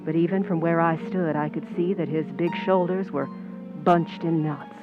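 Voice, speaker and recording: Actress as a cool, scheming noir dame over music. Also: female voice, lowish voice, steady tone, moderate pace, dull audio, close to an everyday voice. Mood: cool, knowing. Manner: hard-boiled, knowing, calculating. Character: scheming, cool, ambitious Hollywood stand-in, a younger woman. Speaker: actress in a community playhouse radio play. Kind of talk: old-time radio suspense acting with first-person narration.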